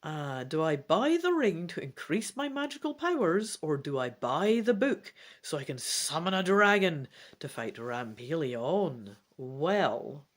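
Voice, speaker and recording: A woman reading a fantasy gamebook aloud as its player, in an expressive, theatrical storytelling style. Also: low-pitched, measured pace, hardly any pauses. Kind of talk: dramatic storybook narration with casual asides.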